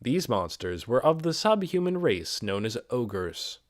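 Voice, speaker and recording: A man reading a fantasy novel aloud as scripted audiobook narration, with expressive storytelling delivery. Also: medium pitch, measured pace, no echo, studio quality. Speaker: amateur audiobook reader.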